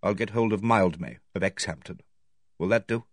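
A man voicing a protective, insistent gentleman solicitor in an audiobook. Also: mid-range voice, wildly swooping pitch, rapid-fire, husky, no room sound, studio quality. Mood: quiet. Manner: sharp, insistent. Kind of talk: audiobook character voice.

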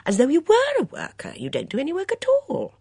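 A woman's voice: sits high, huge pitch swings, fairly quick, creaky, a little mellow, no room sound, clean studio sound, voice pushed up, exaggerated voice. Woman playing a fussy gossiping mother. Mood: scolding. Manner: prattling, disapproving asides. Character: chattering fussy middle-aged mother, gossipy.

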